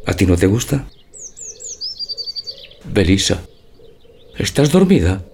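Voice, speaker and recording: An actor playing a gentle, naive, melancholy older husband in a theatrical radio drama, speaking tenderly and deliberately. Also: male voice, deep voice, sing-song, slight background noise, full character voice. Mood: dreamy, moved. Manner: tender, poetic, deliberate delivery.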